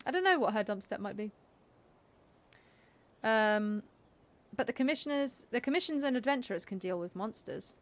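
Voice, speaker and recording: A woman's voice: fairly high pitch, lively intonation, normal speed, long pauses. A woman giving casual live-stream game commentary, chatty and playful as she muses aloud. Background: game music.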